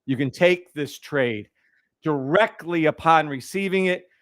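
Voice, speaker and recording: A man hosting a trading livestream in casual chat, speaking loudly and bluntly with frequent swearing and laughter into a boom mic. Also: unhurried, no room sound. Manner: loud, blunt and profane, laughs often.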